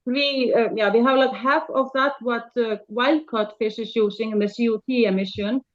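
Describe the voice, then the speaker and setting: A woman startup founder answering questions about her product in non-native English, halting, with many fillers. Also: on the high side, fairly even intonation. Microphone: video call. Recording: dull lo-fi video call audio.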